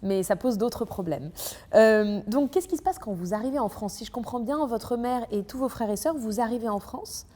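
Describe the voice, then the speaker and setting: A woman's voice: on the high side, moderate pace, warm tone. A woman hosting a podcast interview, asking questions and affirming her guest. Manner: friendly, affirming, frequent agreement. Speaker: podcast host. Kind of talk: interview conversation. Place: ornate hall.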